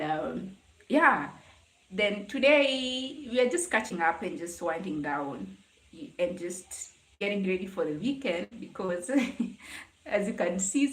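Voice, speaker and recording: A young adult woman with a Kenyan accent hosts a casual live chat, talking conversationally to her viewers. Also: mid-range voice. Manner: conversational, greeting and answering commenters. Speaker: livestream host. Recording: roomy, echoing live stream sound.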